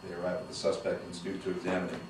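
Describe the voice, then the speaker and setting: A man reading the stage directions aloud at a screenplay table read, brisk and matter-of-fact. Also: low voice, completely flat delivery. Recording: echoey room, distant mic, background noise.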